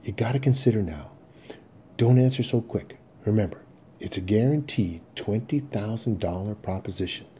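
A man's voice: lowish voice, expressive, moderate pace, warm tone, lo-fi sound, a little hiss or hum, close to an everyday voice. A man voicing a desperate, rambling aging salesman who pleads insistently and repeats himself. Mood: urgent, pleading.

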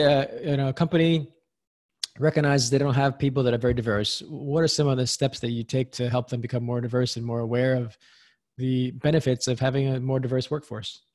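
A man's voice: medium pitch, darkish tone. Man hosting a podcast interview. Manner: conversational, quick follow-up questions. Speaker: podcast host.

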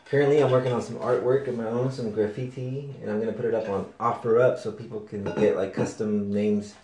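A man's voice: fairly low pitch, fairly even intonation, brisk, warm tone. A man co-hosting a podcast in a casual, laid-back, slangy way, speaking English as a second language. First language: not English. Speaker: podcast co-host. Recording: echoey room with noticeable noise.

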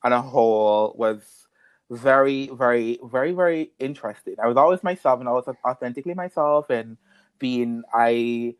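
A man's voice: mid-range voice, moderate pace, dry recording. Flamboyant Caribbean-raised man in his twenties. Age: 20s. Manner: expressive, flamboyant, laughs often, fillers like um. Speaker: podcast guest. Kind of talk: casual interview.

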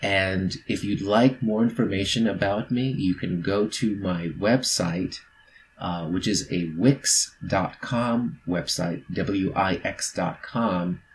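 A man's voice: low voice, fairly even intonation, slow pace, slight background noise, low-quality recording. A man speaking as a guest author, explaining his work in a casual promotional talk. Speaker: featured storyteller, author.

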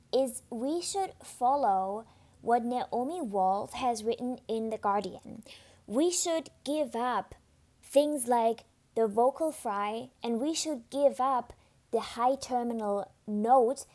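High-voiced woman with slight vocal fry. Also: mellow. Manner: casual, chatty, candid, with fillers and restarts. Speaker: podcast host. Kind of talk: solo podcast monologue.

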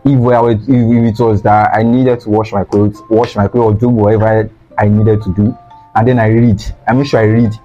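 Young Nigerian man, earnest interview guest, dark mellow tone, slightly lo-fi, faint music behind. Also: fairly low pitch, level tone, a little fast. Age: young adult. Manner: earnest, repeats phrases for emphasis. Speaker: guest, student sweet seller. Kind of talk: casual interview talk. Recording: slightly dull lo-fi sound.

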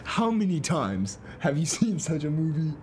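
A young man co-hosting a podcast in casual chat, animated and swearing freely. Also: fairly high pitch, normal speed, a little hiss or hum. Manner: animated, swearing, laughing often. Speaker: podcast co-host.

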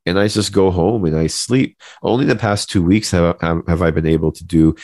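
A man's voice: low voice, brisk, a little mellow, no echo. A man in his thirties co-hosting a casual podcast, speaking informally and effusively to friends while sick, his voice not at its best. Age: 30s. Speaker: podcast co-host. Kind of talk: casual chat.

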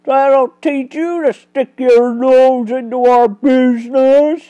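A woman voicing a boastful male pickpocket who taunts loudly. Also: high voice, fairly even intonation, slow pace, no echo, lo-fi sound, voice pushed up. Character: harsh-laughing male pickpocket villain, boastful.

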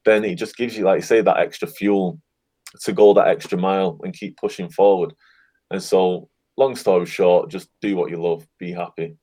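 Low-voiced guy on a podcast, laid back and encouraging, chuckles here and there. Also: fairly even intonation, normal speed, dark tone. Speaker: guest, affiliate marketer. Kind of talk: casual interview chat.

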